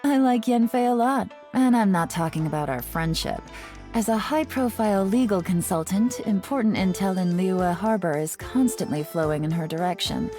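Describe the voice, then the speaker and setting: A woman voicing a cool, shrewd, teasing intelligence agent in a video game, speaking in a composed, measured, sly manner. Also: medium pitch, expressive, warm tone, soft background music, close to an everyday voice. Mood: relaxed, appraising. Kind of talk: scripted video game character monologue.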